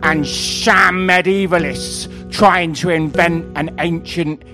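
A man voicing a forlorn, ancient Green Man as a pirate, in a slow theatrical monologue with mangled grammar over music. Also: a little high, fairly even intonation. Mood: forlorn, brooding. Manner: deliberate, declamatory, with mangled grammar.

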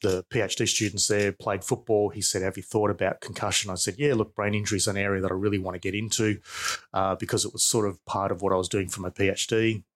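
Low-voiced middle-aged Australian man explaining. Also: flat delivery, fast talker. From Perth, Australia. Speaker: expert guest, professor and researcher. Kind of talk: conversational interview, explaining.

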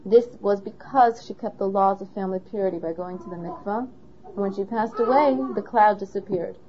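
A woman's voice: mid-range voice, brisk, crowd noise. A woman teaching a Torah lesson, asking questions as she explains. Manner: asks the listener questions, patient. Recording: dull lo-fi recording.

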